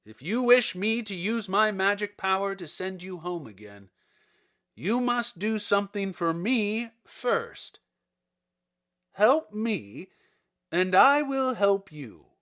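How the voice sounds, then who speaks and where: Storybook wizard, a huge floating head played by a male reader: booming, imperious, raised pitch, slow and weighty delivery. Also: big pitch swings, a bit bright, heavily acted. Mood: commanding, bargaining. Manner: imperious, emphatic, deliberate. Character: great disembodied wizard head, commanding, stern, terrible. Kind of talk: dramatic storybook character reading.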